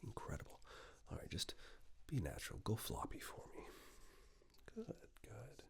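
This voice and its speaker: A man speaking softly and breathily in an unhurried ASMR roleplay, playing a polite tailor who murmurs instructions with pauses. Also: huge pitch swings. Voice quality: soft, breathy, half-whispered low voice. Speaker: ASMR performer playing a tailor taking suit measurements. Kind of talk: soft-spoken ASMR roleplay, personal attention.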